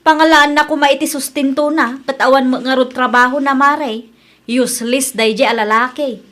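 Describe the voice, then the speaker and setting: A woman playing an aggrieved young Ilocano wife, high-voiced. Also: steady tone, normal speed, a bit bright. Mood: bitter, complaining. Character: aggrieved young mother fed up with her useless husband. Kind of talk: Ilocano comedy radio drama acting. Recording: music behind the voice.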